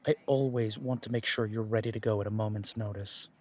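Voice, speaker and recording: A man playing a steady werewolf pack leader. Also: on the low side, close to an everyday voice. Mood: steady, serious. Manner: earnest, measured, reassuring, with dry humour. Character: steady, protective male werewolf pack leader, wry and dutiful. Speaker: voice actor in audio roleplay. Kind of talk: scripted audio roleplay drama.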